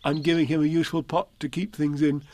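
Little storybook bear, male reader in character: earnest, simple, humble, lifted pitch. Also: little pitch movement, fast talker, a little mellow, lightly acted. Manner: earnest, plain-spoken, guileless.

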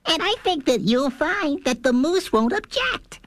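A man reading a storybook and voicing a cheerful little male bug in a squeaky, chirpy voice. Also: sing-song, a little slow, fairly bright tone, no echo, heavily acted.

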